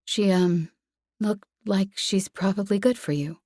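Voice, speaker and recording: A woman voicing a tough but awkward young woman pilot in an audiobook, guarded and halting. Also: mid-range voice, warm tone, no room sound, studio quality, natural voice. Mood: nervous, hurt. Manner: halting, muttering, emotionally guarded.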